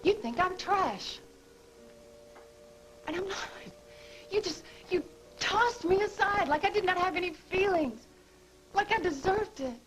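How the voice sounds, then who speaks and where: A woman's voice: mid-range voice, animated, moderate pace, close to an everyday voice, low-quality recording. An actress playing a bitter, scornful woman who schemed with her ex-lover and now wants payback, in a soap-opera drama, with faint music underneath. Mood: hurt. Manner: taunting, bitter, emotional. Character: bitter scheming woman, former nurse-accomplice, hurt and vengeful. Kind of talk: daytime soap drama acting.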